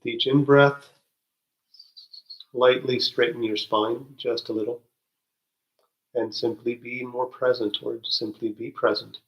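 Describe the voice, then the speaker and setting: A man leading a guided spiritual meditation, speaking gently with soft halting restarts and long pauses. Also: mid-range voice, level tone, highs cut off.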